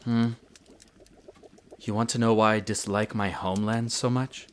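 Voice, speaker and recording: A man's voice: on the low side, little pitch movement, slight background noise, lightly acted. A man playing a proud, prickly winter elf archaeologist who talks formally and haughtily in a scripted audio roleplay addressed to the listener. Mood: guarded, irritated. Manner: haughty, formal, exasperated, theatrical pauses.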